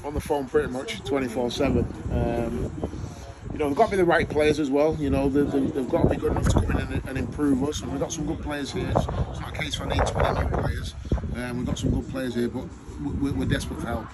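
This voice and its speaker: A man in his thirties speaks frankly as a football manager after a match, recorded on a close phone mic outdoors at the ground. His voice sits at a middling pitch, and loud wind noise rushes behind him. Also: fairly even intonation. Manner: frank, measured, repeats words while thinking. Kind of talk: post-match interview.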